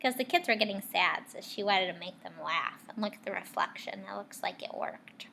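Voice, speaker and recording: A woman teacher reading a picture book aloud to children, expressively, pausing to ask her young listeners guiding questions. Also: medium pitch, vocal fry, sharp tone, slight background noise.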